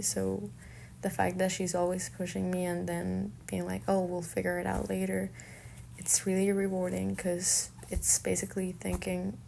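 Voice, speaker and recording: A woman's voice: on the low side, gravelly, a little mellow, slight background noise. A young woman with a Colombian accent, a native Spanish speaker, talking informally and reflectively about herself as an artist. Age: young adult. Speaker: artist and fine arts student. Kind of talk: informal self-introduction monologue.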